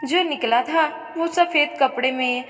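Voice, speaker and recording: Female storyteller, unhurried melodramatic read, higher register, reverberant recording. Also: fairly bright tone, soft background music. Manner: melodramatic, reads speaker names before dialogue. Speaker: story narrator. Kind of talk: dramatic scripted story narration in Hindi. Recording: long reverb on voice.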